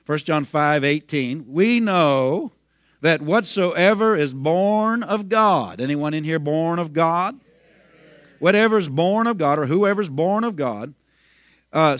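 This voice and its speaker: A man in his fifties preaching a sermon, telling a healing story and breaking into loud hallelujahs. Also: a little high, animated, slow, no echo, studio quality. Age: 50s. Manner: storytelling, bursts into shouting and hallelujahs. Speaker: pastor.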